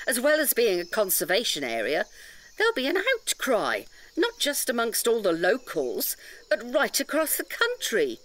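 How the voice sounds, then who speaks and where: A woman's voice: mid-range voice, a bit bright, a little hiss or hum. Indignant woman defending a nature reserve, emphatic, swoopy voice, radio play with faint birds and bugs behind. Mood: defiant. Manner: challenging, emphatic. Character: indignant, articulate female defender of a nature sanctuary. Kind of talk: audio drama acting.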